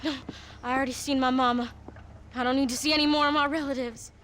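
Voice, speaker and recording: A woman's voice: sits high, fairly even intonation, fast talker, a bit bright, slight background noise. A woman playing a blunt, morbid young frontier girl with a drawl in a game cutscene. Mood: matter-of-fact. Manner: drawling, matter-of-fact about horrors. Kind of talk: video game cutscene acting.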